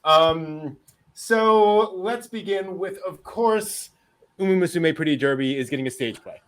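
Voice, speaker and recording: A man's voice: fairly high pitch, unhurried, poor audio. A man hosting a casual anime news show, chatting and joking with a wry, amused delivery.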